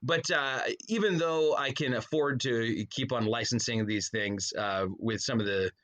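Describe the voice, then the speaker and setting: A man with a mid-pitched voice chats casually as a podcast guest. He tells things in a rambling way, breaking into his own sentences with asides. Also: a little slow, a bit bright. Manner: rambling, self-interrupting storyteller with frequent trailing asides. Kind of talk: casual interview chat.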